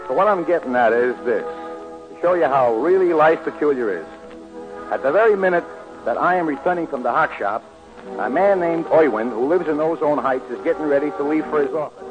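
A streetwise, luckless gambler , acted by a man, spins his story in slangy radio-comedy style. His voice sits fairly high for a man. The sound is a dull vintage broadcast with clear music. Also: expressive, brisk, natural voice. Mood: wry narrating. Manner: streetwise, ungrammatical, colloquial storytelling. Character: down-on-his-luck wisecracking horse-betting gambler narrating his tale. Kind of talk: 1940s radio comedy acting. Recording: muffled old 1940s radio broadcast, music.

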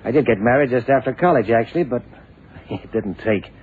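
An actor playing an evasive young lawyer in a radio drama, talking in a hesitant, defensive, colloquial way. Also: male voice, mid-range voice, brisk, husky, narrow, tinny audio, lightly acted. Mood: defensive, casual. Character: evasive, ambitious young American male lawyer. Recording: thin, noisy 1960s radio drama recording.

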